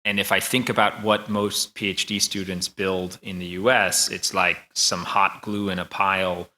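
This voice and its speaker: American male panelist, low-pitched and bright-toned, relaxed conversational delivery. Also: normal speed. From United States. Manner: conversational, with occasional restarts. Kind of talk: panel discussion answer.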